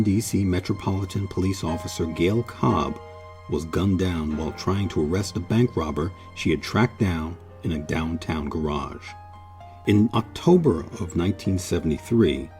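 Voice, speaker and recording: Deep, mellow male documentary narrator over music.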